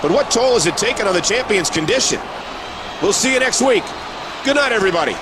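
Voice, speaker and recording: A man's voice: high-pitched, lively intonation, fast talker, background noise. A man playing an earnest play-by-play wrestling announcer, delivering broadcast commentary in a video game. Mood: upbeat announcing. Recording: loud music behind the voice.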